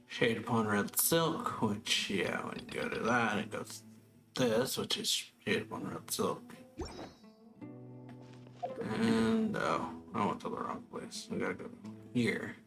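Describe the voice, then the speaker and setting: A man casually commenting as he plays a video game, relaxed and chatty with slangy asides. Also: lowish voice, slow, gravelly. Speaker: gameplay streamer. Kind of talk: casual let's-play commentary. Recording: game music behind.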